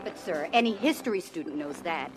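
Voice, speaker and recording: An actress playing an eager, impatient questioner at a government briefing in an audio adventure. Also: female voice, on the low side, animated, brisk, close to an everyday voice. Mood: eager, curious. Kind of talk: audio adventure record acting. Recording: vintage LP with music behind.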